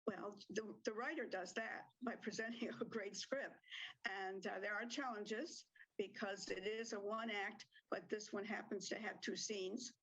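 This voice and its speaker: A woman's voice: medium pitch, steady tone, measured pace, a bit bright, breathy edge, rough recording. A woman who directs community theatre, answering interview questions hesitantly with many fillers and small laughs.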